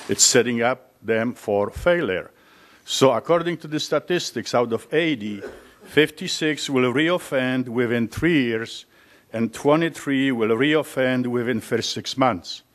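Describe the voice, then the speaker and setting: A man's voice: mid-range voice, unhurried. Man, public commenter, blunt, matter-of-fact, meeting hall.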